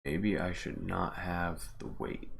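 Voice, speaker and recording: A man's voice: low-pitched, little pitch movement, slow pace, gravelly, husky. A man giving casual live commentary as a game modder and streamer, muttering and thinking aloud as he works at his computer. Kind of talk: casual live commentary while working on screen.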